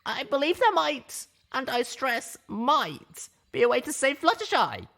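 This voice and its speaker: A woman playing an earnest, careful young unicorn mare in an audiobook, with a husky, creaky voice. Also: high-pitched, sing-song, a little slow, fairly bright tone, full character voice. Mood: careful, hopeful.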